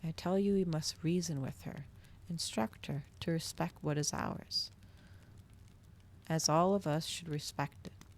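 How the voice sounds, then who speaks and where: A woman voicing an earnest male vampire who reasons insistently in a soft ASMR storybook reading. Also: low voice, measured pace.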